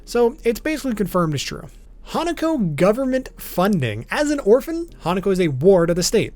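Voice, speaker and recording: A man's voice: sits high, very animated, brisk, darkish tone, no room sound. A man narrating an explainer video as its host, in an informal, matter-of-fact way, with music behind him. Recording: background music under voice.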